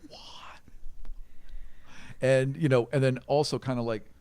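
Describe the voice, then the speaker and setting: A man's voice: mid-range voice, fairly even intonation, brisk, a little mellow, dry recording. A man working as game master, narrating an improvised tabletop game in a casual, conversational way.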